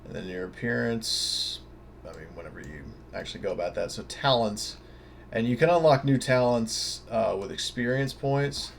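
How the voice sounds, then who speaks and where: A man's voice: mid-range voice, moderate pace, creaky. A man giving an informal, off-the-cuff tabletop game tutorial, rambling casually and thinking aloud over a noisy recording. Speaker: tabletop game guide presenter. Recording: noticeable background noise.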